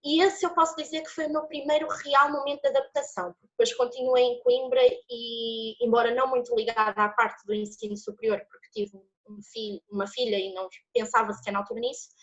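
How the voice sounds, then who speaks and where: A woman in her twenties with a Portuguese accent gives an expressive, encouraging personal testimony as a student speaker. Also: fairly high pitch, fairly quick. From born in São Paulo, Brazil, living in Portugal since age nine. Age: 20s. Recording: dull lo-fi audio.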